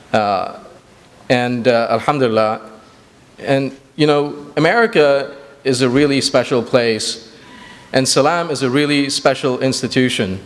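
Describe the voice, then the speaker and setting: Man, grew up in Sri Lanka, earnest speech at a community banquet, mid-pitched, long hall reverb. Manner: earnest, with frequent fillers and restarts. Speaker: executive director.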